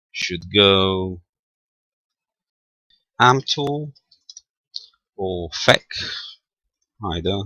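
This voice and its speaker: A man giving an informal technical demonstration as a presenter, halting and thinking aloud as he goes. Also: sits low, bright, forceful tone, no echo, poor audio. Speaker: amateur radio tutorial presenter. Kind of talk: informal spoken software demonstration.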